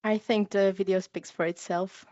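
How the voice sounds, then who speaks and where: Woman, mid-pitched, informal film maker presenting, dry dull call audio. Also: fairly even intonation, normal speed, a little mellow, no echo. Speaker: short film maker introducing the film. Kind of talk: informal spoken presentation at online event. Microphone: video call.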